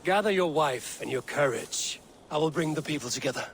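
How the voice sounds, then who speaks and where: An honorable Viking warrior chief speaks in stately game dialogue. The voice sits slightly high for a man and keeps a measured, formal steadiness. Noticeable ambient noise lies behind it. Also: lively intonation. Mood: decisive. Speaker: video game voice actor. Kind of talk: dramatic game dialogue acting.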